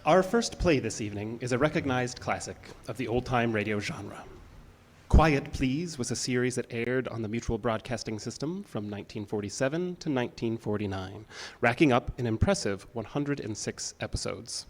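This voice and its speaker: A man's voice: mid-range voice, animated, moderate pace, slight background noise. A man announcing in a scripted, dramatic style, as a presenter introducing a play. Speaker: theater announcer.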